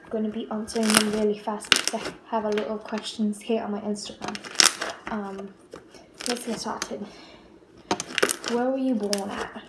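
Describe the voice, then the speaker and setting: A woman's voice: medium pitch, flat delivery, normal speed, pauses often. A teenage girl from Australia chatting casually to camera as a vlogger, hesitant and rambling, often repeating herself. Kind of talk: casual question-and-answer chat to camera. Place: small bare-walled room at home. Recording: roomy, loud background noise, clicks.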